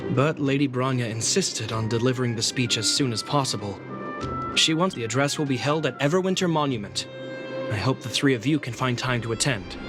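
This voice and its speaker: A man's voice: mid-range voice, fairly quick, lightly acted. A man voicing an earnest, courteous young guard captain in a video game, formal and sincere, with music behind him.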